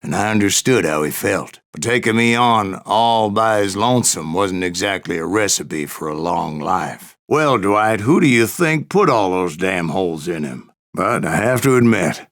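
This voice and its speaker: An actor playing a grizzled old gunslinger in a Western video game, spinning wry, folksy tales in a gravelly American Western accent. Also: male voice, low-pitched, expressive, moderate pace, few pauses, a bit bright. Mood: wry, boastful. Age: older. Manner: folksy, wry, salty-tongued raconteur.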